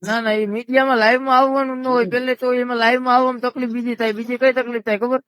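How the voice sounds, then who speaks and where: Fast, high-pitched insistent man. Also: flat delivery, a bit bright, dry recording. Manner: insistent, repetitive, explanatory. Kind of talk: heated phone conversation. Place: recorded phone call.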